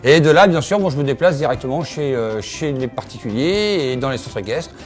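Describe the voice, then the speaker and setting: A middle-aged man from Normandy, working as a farrier, answering interview questions outdoors at his horse yard in a steady way, often agreeing with "absolutely". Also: mid-range voice, a little fast, faint music behind. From Normandy, France.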